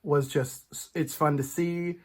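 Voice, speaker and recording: A man hosting a casual, animated chat about film and TV, acting out imagined reactions as he goes. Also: fairly high pitch, takes it slowly, husky. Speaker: podcast host.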